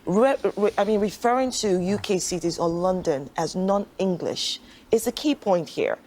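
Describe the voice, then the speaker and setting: A woman's voice: fairly low pitch, lively intonation, normal speed, a little mellow, slight background noise. A woman on a television panel argues with indignation. She is firm and dismissive of the other side's claims. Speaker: debate panelist.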